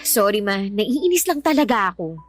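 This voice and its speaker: An actress playing a frank, resentful daughter in a Filipino radio drama, exasperated and speaking with a Filipino accent. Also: female voice, medium pitch, very animated, normal speed, soft background music. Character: resentful young daughter, outspoken but respectful to mother.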